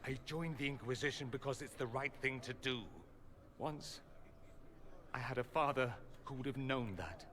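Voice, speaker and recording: An actor playing a proud, defiant mage son in a video game, theatrical and bitingly sarcastic. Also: male voice, mid-range voice, normal speed, fairly bright tone, slight background noise, lightly acted. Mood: angry, hurt. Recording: chatter of a crowd behind.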